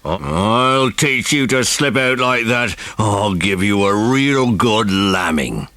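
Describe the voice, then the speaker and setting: A man voicing a gruff, bad-tempered bully who speaks in curt, threatening working-class speech in a children's audio story. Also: on the low side, expressive, slow pace, pushed and bright, no room sound, full character voice. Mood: angry. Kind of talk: dramatised children's audiobook acting.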